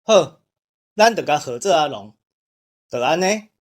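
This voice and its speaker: A man acts as an old Taiwanese country grandfather in a radio play. He is forceful and decisive, with a carefree way of settling things. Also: a little high, lively intonation, a little slow. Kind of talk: radio drama acting.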